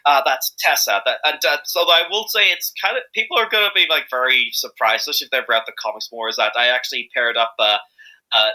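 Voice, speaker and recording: A man's voice: medium pitch, bright, forceful tone, rough recording. A man with an Irish accent chatting casually as an interview guest, hesitant and rambling but enthusiastic. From Ireland. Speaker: interview guest, amateur fandub voice actor.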